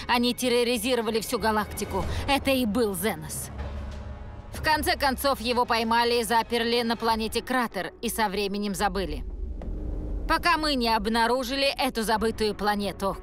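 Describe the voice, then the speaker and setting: Brave cartoon space warrioress, voiced by a woman: earnest, dramatic, higher register over music. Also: fairly bright tone, natural voice. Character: serious, brave female alien warrior lady.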